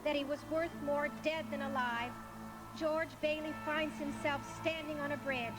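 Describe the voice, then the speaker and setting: A woman narrating a live radio play as a solemn storyteller, measured and dramatic. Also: high-pitched, fairly even intonation, natural voice. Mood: solemn, suspenseful. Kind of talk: scripted narration. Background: music.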